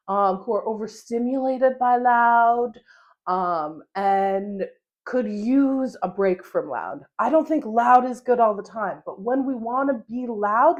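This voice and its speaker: A young American woman talks through her solo podcast in a loose, chatty way. Her delivery is animated and full of fillers. She laughs easily as she goes. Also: on the high side, muffled recording. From United States. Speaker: podcast host. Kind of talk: solo casual podcast monologue.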